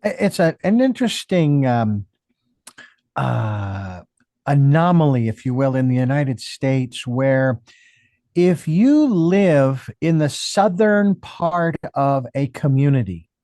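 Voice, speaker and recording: A man's voice: medium pitch, very animated, takes it slowly, warm tone, no room sound, studio quality. A man hosting an interview, asking long, rambling questions that he works out as he talks. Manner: rambling, musing questions with restarts. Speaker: interview host. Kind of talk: conversational interview.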